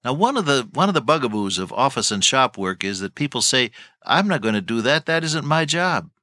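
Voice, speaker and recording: Older man, bright voice, dry close sound, folksy chuckling Bible teacher. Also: mid-range voice, big pitch swings, brisk, no room sound.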